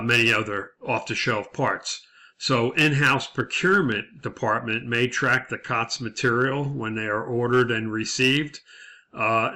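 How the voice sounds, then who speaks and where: A man giving a lecture as an instructor, explaining methodically in a semi-scripted way with hesitant pauses. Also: mid-range voice, sharp tone.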